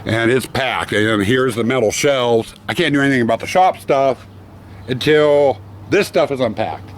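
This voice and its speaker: A middle-aged man vlogging casually about a weekend convention. He has a hoarse voice from losing it, and he rambles warmly, full of thanks. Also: mid-range voice, moderate pace, slight background noise. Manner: rambling, effusive, grateful. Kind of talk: casual vlog talk.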